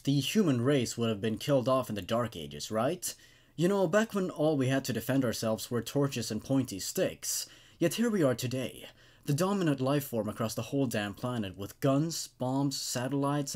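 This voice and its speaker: A man hosting and narrating horror stories in an expressive, dramatic way, with casual asides. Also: mid-range voice. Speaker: host reading horror stories.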